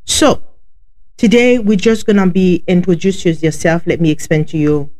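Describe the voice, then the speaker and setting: A woman with a heavy Haitian accent hosts a casual podcast, speaking to her listeners directly. Her voice sits slightly low, and she talks in a chatty, informal way. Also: fairly even intonation, moderate pace, dark tone. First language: Haitian Creole. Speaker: podcast host.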